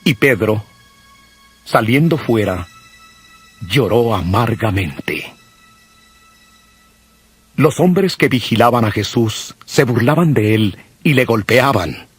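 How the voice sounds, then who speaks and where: A man narrates a dramatized audio Bible in a solemn, measured way. His voice sits at a middling pitch, with music clearly playing behind him. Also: animated. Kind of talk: solemn scripted scripture narration.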